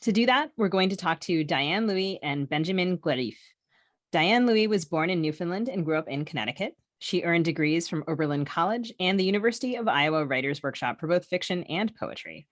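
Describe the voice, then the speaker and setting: A woman's voice: on the low side, animated, a little fast, dry recording. A woman co-hosting a podcast in a conversational way, with a wry, teasing manner. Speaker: podcast co-host.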